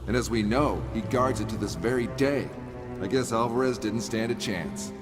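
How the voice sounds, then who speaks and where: A man's voice: medium pitch, normal speed, lightly acted. A man playing a brash, wisecracking treasure hunter in a video game, with a flippant, wry manner. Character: wisecracking, brash male treasure-hunting adventurer. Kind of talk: video game cutscene dialogue and narration. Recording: loud music over the voice.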